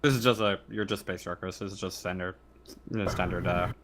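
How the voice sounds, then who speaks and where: A man's voice: sits low, fairly even intonation, brisk. A man acting as game master, narrating a tabletop game casually with a hesitant, stumbling delivery. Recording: online voice chat with background noise.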